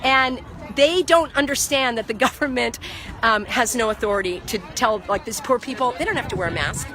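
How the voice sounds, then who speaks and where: A woman's voice: on the high side, fairly quick, sharp tone. A woman vlogging outdoors at a market into her phone, speaking with passion and lecturing, often repeating herself. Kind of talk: outdoor phone vlog, heated argument. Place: outdoor market parking lot. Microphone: phone held by the speaker.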